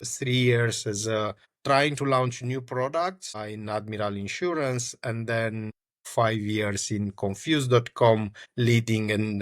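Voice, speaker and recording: A man talks through his answers in a low voice, pausing often on fillers and starting phrases over. The sound is dry and close, with no room around it. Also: no room sound. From Romania. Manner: hesitant, many fillers and restarts. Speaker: startup founder and guest. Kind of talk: conversational interview answers.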